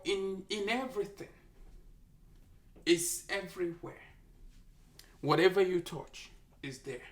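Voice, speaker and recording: Nigerian-accented doctor, slow and emphatic, gravelly tone, preaching health advice, recorded in an echoey room from a distance. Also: medium pitch. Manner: emphatic, exhorting, repeats lines for effect. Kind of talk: preachy health talk to camera.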